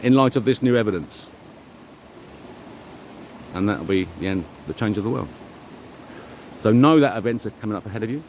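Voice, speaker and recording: A middle-aged man giving a rambling, informal monologue, speaking emphatically and self-assuredly in halting, repeated phrases. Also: on the low side, darkish tone, a little hiss or hum. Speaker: vlogger.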